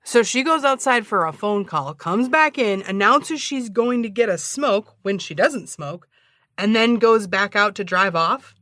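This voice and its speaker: Teasing skeptic lady sidekick, bright voice, casual wry banter, really dry mic. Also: medium pitch, expressive, measured pace, no room sound. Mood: skeptical. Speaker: podcast co-host.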